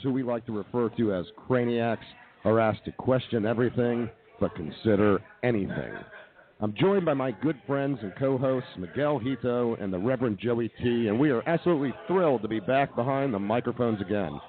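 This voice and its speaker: A man's voice: mid-range voice, narrow, tinny audio. A man hosting a live comedy radio talk show from a brewery tasting room. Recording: thin, band-limited radio stream.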